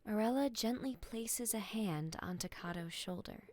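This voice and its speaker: A woman's voice: mid-range voice, expressive, moderate pace, a little mellow, no echo. A woman reading scripted narration for a medieval fantasy audio drama.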